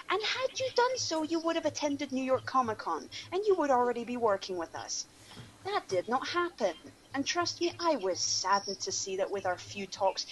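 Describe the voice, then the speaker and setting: A woman on an online radio show giving a mocking dramatic reading, with sarcastic asides. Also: high voice. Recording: noisy room ambience behind voice.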